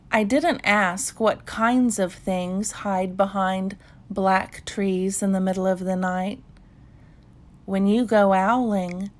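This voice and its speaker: A woman reading a children's story aloud in a calm, measured bedtime style with pauses. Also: medium pitch.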